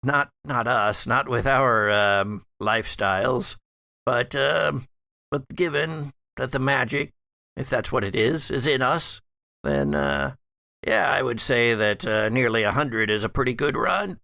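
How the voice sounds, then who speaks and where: A man playing an undead elderly patriarch in a tabletop horror game, rambling and halting, wry and weary. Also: medium pitch, lively intonation, gravelly, sharp tone, dry recording, clean studio sound, exaggerated voice. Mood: musing, expository. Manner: halting, rambling storyteller with musing asides.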